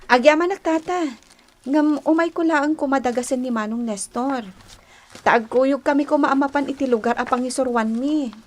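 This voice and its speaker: A woman's voice: high voice, moderate pace, natural voice. An actress playing a polite, coy young woman in a Filipino radio drama, with an Ilocano accent. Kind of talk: Philippine radio drama acting.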